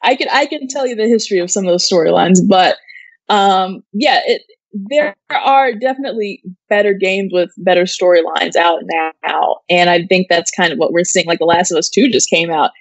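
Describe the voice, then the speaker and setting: A woman's voice: on the low side, moderate pace, no room sound, rough recording. A woman co-hosting a casual chat show, explaining informally and thinking aloud as she goes. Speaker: co-host of an entertainment news live show.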